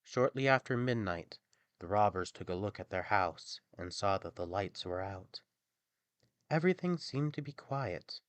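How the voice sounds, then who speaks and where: A man's voice: fairly low pitch, unhurried, no room sound. Theatrical male storyteller, dry close sound. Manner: expressive, theatrical bedtime-story delivery. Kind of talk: scripted fairy tale narration.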